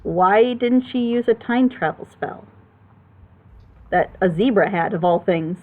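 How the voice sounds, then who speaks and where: A woman's voice: medium pitch, a little slow, narrow, tinny audio. A woman giving casual critical commentary on storytelling as a panelist, emphatic and opinionated, explaining her points at length. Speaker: panelist on a reaction show.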